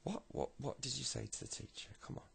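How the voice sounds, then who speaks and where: A man voicing a worried, worn-out single mother who speaks haltingly and repeats herself in a comic story. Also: mid-range voice, gravelly, fairly bright tone, breathy edge, voice pushed up, heavily acted. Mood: anxious. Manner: halting, repetitive, pleading. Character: worried, weary working single mother of a schoolboy.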